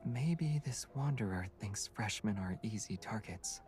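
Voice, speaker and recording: Gentle young man, soft, measured, composed, observant game companion, music underneath. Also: lowish voice, lively intonation. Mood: calm, thoughtful. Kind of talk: voice acting in a romance game.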